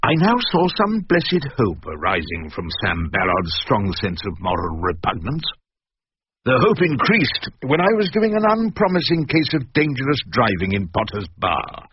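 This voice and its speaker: An actor playing a wry older barrister who narrates his own memoir in a measured, literate radio drama style. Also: mid-range voice, huge pitch swings, breathy edge, no room sound. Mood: wry, reflective. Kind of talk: scripted first-person radio drama narration. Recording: dull lo-fi radio recording.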